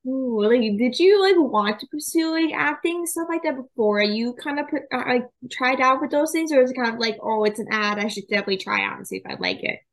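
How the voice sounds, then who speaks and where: A woman's voice: high voice, a little fast, few pauses, rough recording. Chatty young woman podcast host. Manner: chatty, many fillers like "like". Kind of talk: casual podcast interview.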